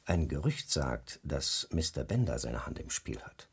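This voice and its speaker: Deep, husky newspaper insider. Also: male voice, big pitch swings, measured pace, full character voice. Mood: knowing, dry. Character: knowing male newspaper employee, matter-of-fact informant. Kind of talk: German radio crime drama acting.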